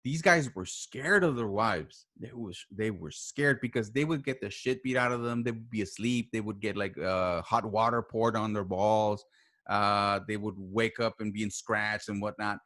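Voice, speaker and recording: A man chatting casually as a podcast guest, speaking haltingly and repeating words as he searches for his phrasing. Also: fairly low pitch, fairly bright tone. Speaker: podcast guest, writer and voice actor. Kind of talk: casual conversation.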